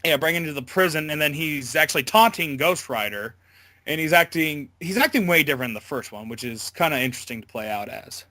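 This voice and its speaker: A man giving a casual interview as a voice actor, talking in a halting, loosely worded way. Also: fairly high pitch, expressive, sharp tone, no echo.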